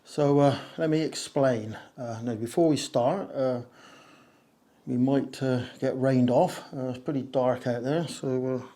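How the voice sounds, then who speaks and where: A man speaks casually to camera, a little hoarse after a cold. He rambles and wanders with plenty of "uh" and "so" and pokes fun at his own jokes. His voice is caught on a wireless lapel mic in a glass conservatory with a touch of echo. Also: mid-range voice, fairly even intonation, unhurried, mellow. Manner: rambling, many "uh" and "so" fillers, self-mocking jokes. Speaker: video creator explaining audio gear. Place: conservatory used as a home studio, a little echoey.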